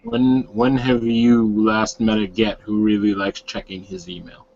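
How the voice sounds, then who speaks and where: A man's voice: on the low side, flat delivery, unhurried, a little mellow. A man playing a dry, respectful grandson in a roleplay game, making polite, wry objections. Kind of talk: tabletop roleplay in character. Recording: dull online call.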